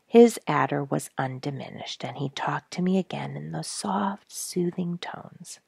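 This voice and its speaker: Female narrator for family audiobook, low register with gravelly edges, gentle and earnest storytelling. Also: unhurried, dry recording. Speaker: storyteller reading a book aloud.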